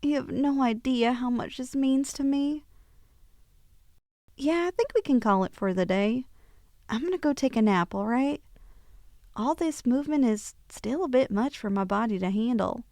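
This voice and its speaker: A woman acting a sweet, bashful young woman in an ASMR roleplay, speaking softly and hesitantly with affection. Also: high-pitched, normal speed, dry recording, studio quality. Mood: tired, grateful. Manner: soft, hesitant, affectionate, sighing. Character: sweet, bashful young woman shrunk tiny, tenderly confessing feelings.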